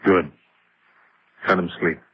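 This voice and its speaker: Low-voiced man bearing grim news. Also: fairly even intonation, slow, breathy edge, dry recording, full character voice. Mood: grave, urgent. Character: distressed man bringing grim news to a friend. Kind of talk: old radio horror drama acting. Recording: muffled, noisy old radio recording.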